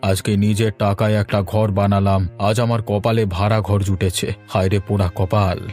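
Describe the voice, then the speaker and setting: A man's voice: fairly low pitch, flat delivery, normal speed, a little mellow, faint music behind, close to an everyday voice. A man voicing a weary, resigned elderly father-in-law in cartoon dialogue. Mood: sorrowful, resigned.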